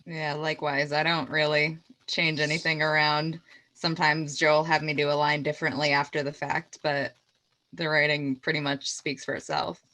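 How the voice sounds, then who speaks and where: A woman's voice: deep voice, level tone, normal speed, bright, forceful tone, no room sound, low-quality recording. A woman being interviewed as a voice actress, chatting casually about her work.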